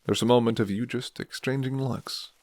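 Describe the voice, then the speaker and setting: A man reading scripted second-person ASMR narration in a soft, measured storytelling manner. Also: medium pitch, steady tone, dry recording.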